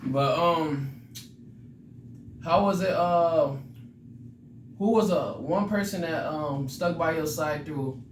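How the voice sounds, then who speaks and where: A man's voice: on the high side, slow, pauses often. A man in his twenties with an American accent, hosting a casual interview in slangy, curse-heavy talk and often repeating his phrases. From United States, born in California, raised in Arizona. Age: 20s. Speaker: podcast host. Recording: roomy, distant mic, clear background noise.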